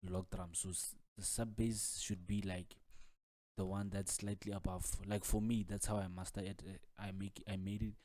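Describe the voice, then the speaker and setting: Low, monotone man giving a casual tutorial. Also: normal speed, no echo. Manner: casual, repetitive filler-heavy explaining with frequent "like" and "man". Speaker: music production tutor. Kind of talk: informal software tutorial walkthrough.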